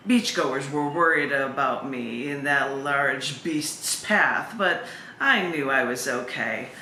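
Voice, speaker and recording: Woman doing a poetry open mic, bright clear-cut voice, roomy echo, bit of background noise. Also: very deep voice, slow pace. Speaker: open mic host and poet. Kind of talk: poetry reading with chatty introductions. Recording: echoey room, faint background noise.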